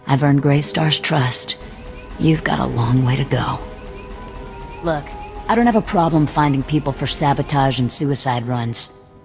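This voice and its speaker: An actor playing a hard, distrustful male rebel leader with cybernetic implants, talking curtly and with open threat in a game cutscene. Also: fairly high pitch. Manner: gruff, threatening, curt. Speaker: resistance cell leader. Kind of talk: video game cutscene acting.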